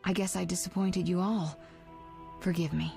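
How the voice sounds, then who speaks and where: Low-voiced, affectionate sorceress. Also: female voice, fairly even intonation, normal speed, creaky, mellow, faint music behind. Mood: tender, yearning. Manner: earnest, emotional. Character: affectionate, vulnerable young female sorceress. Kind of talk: video game voice acting.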